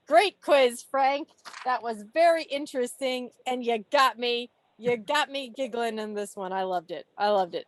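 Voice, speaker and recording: A woman with a high voice hosts a quiz over a video call. She takes her time, lingering on names and answers. Her delivery is playful and expressive, mixing read questions with chatty asides. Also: dry recording. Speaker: quiz host at a club meeting. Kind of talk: animated quiz reading with casual asides. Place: online video meeting. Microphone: video call microphone.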